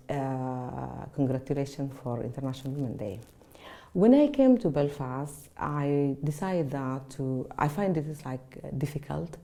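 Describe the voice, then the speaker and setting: Low, gravelly woman from Sudan, halting. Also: dark tone. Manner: halting, with many fillers and restarts. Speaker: community association founder. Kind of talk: unscripted rally speech. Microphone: video call.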